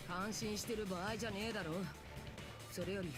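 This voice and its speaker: A woman voicing a blunt, rough-spoken, exasperated teenage boy in a Japanese video game. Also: medium pitch, fairly even intonation, rapid-fire, lightly acted. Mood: blunt, urgent. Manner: blunt, rough colloquial speech. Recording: game audio with loud music behind.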